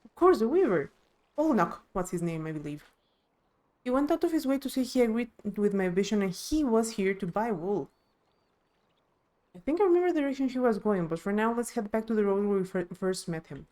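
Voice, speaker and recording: A woman's voice: mid-range voice, very animated, a little fast, mellow, close to an everyday voice. A woman voicing an earnest young female claimant to a throne in a fantasy game, plain-spoken and determined.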